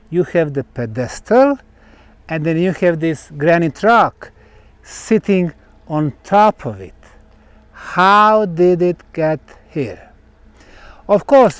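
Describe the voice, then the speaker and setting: A man who is a native Bosnian speaker presenting on location in deliberate, emphatic short phrases with dramatic pauses. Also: fairly high pitch, dry recording. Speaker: presenter and researcher. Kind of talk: on-location explanatory monologue to camera. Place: outdoors on a granite rock platform.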